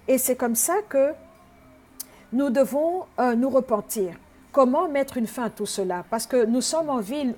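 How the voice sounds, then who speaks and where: A woman's voice: on the high side, dark tone. A woman from Congo preaching an earnest sermon, teaching with frequent hesitations.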